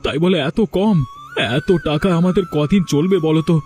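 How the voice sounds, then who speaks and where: A man's voice: sits high, normal speed, a little mellow, faint music behind, lightly acted. A man voicing a worried, despairing village farmer and father in a cartoon, speaking in a plaintive, lamenting way. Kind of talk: Bengali cartoon dialogue dubbing.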